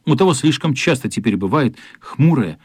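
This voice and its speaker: A man's voice: medium pitch, lively intonation, moderate pace, darkish tone, husky, no room sound, studio quality. A man reading literary narration as the storyteller of a children's radio play.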